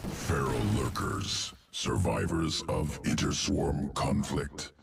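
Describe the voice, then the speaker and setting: A man's voice: a bass voice, slow, music in the background, heavily acted. A man voicing a cold, clinical alien creature that engineers genes in a video game, guttural, speaking in clipped telegraphic phrases. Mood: detached, clinical. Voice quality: guttural, gravelly. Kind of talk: video game character dialogue.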